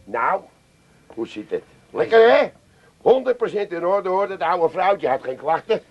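A slick car dealer in a comedy radio play, pushy and glib. His high voice swings about in excitable patter, on a dull lo-fi recording. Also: a little slow, heavily acted. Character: slick, shifty male used-car seller, pushy and glib.

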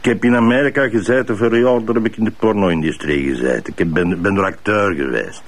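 Male actor as Flemish small-time crook, low voice, brash, grumbling, evasive, radio drama. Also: fast talker, lightly acted. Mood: flippant. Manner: brash, slangy, grumbling, flippant. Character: cocky, evasive petty crook, a male police suspect. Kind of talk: improvised radio drama interrogation.

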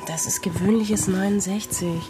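A woman's voice: mid-range voice, fairly even intonation, normal speed, loud music behind the voice, lightly acted. An actress playing a cheeky, impatient East German teenage girl in a radio drama, teasing and brusque. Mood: mocking.

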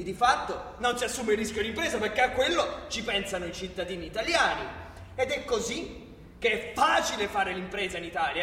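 An actor playing a sardonic narrator in a political radio play, explaining in an emphatic, didactic way with ironic rhetorical questions. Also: male voice, extremely high pitch, pushed and bright, a little hiss or hum, full character voice. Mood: explanatory, ironic. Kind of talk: theatrical scripted narration, civic storytelling. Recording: echoey long-reverb hall, distant from microphone.

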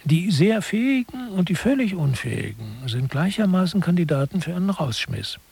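Husky, higher-voiced male lecturer. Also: very animated, normal speed, darkish tone, dry recording, exaggerated voice. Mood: explanatory. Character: male lecturer expounding mock-scholarly management theory. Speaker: narrator. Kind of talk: scripted satirical lecture narration.

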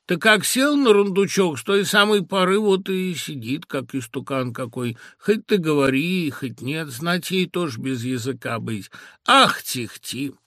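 A man's voice: sits high, pushed and bright, no echo, clean studio sound, voice pushed up. A man voicing a chatty old village woman who gossips in a folksy singsong drawl in a story reading.

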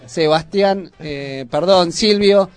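A man's voice: high voice, takes it slowly, smooth, soft background music. A man with a Buenos Aires Argentine accent chatting casually as a radio host.

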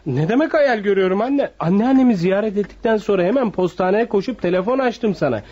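An actor playing an excitable, scheming young grandson in a radio play, muffled, with a noisy crowd behind him. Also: male voice, high voice, fast talker. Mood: indignant, realizing. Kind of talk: radio theatre acting.

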